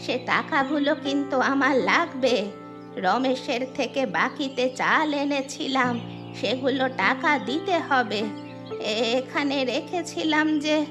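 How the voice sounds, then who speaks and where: A woman playing a fretful, scolding village wife and mother in an animated story. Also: high voice, unhurried. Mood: suspicious. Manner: scolding, questioning. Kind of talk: animated story dialogue acting. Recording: music under the voice.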